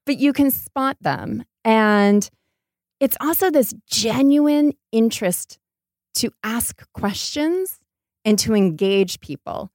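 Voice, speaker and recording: A woman's voice: a little high, slow, darkish tone, no echo, studio quality. An American woman giving reflective, conversational interview answers, making her points with emphasis. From Maine, United States. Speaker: podcast guest, startup entrepreneur.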